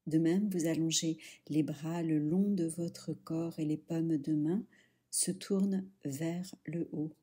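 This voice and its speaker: A woman guides a meditation in a soft, breathy voice that sits fairly low. She takes her time, leaving long pauses between short, soothing instructions, as a yoga nidra teacher does. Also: steady tone.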